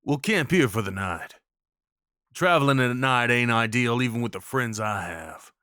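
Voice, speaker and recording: A man's voice: mid-range voice, animated, normal speed, pushed and bright, smooth, no room sound. Man playing a folksy Old West cowboy, close and dry. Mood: solemn, sympathetic. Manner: folksy, reassuring, informal. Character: kindly rough-spoken Old West cowboy guide, protective and awkward. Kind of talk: intimate audio roleplay acting.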